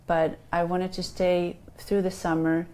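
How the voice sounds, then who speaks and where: Chilean woman in her fifties, life coach, dark mellow voice, hesitant interviewee. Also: lowish voice, level tone, a little slow, soft background music. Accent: Chilean Spanish. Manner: hesitant, many ums and restarts. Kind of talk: interview answers.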